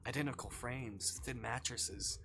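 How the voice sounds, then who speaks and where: A man livestreaming, reading a visual novel aloud in a dramatic, hushed storytelling way. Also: mid-range voice, unhurried, creaky, background noise. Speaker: streamer. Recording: faint music behind. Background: faint music.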